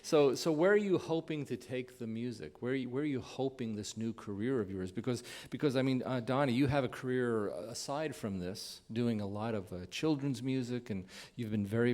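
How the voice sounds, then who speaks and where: A man at an ordinary middle pitch hosts a live radio interview. He talks informally and builds his questions haltingly, doubling back on words and rambling a little before he lands on the point. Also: a little mellow. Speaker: radio show host interviewing musicians.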